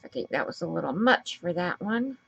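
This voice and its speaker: A woman with a raspy voice and a stuffy nose, chatting casually as she works through a crafting tutorial and thinks aloud. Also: lowish voice, sing-song, fairly quick. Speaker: craft video host.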